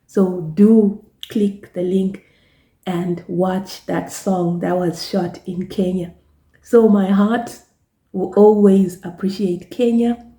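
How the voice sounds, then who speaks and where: A woman from Zimbabwe with a Zimbabwean accent, Shona her first language, talking casually to camera in a slow, halting way with many repeated words and restarts. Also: mid-range voice, mellow, echoey room. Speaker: travel vlogger and gospel singer.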